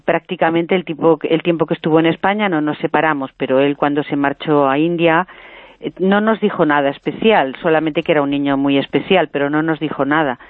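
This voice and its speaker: A woman's voice: deep voice, no room sound. Older woman speaking over a tinny phone line. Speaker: guest's mother, phone caller. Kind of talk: phone-in interview storytelling. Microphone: telephone.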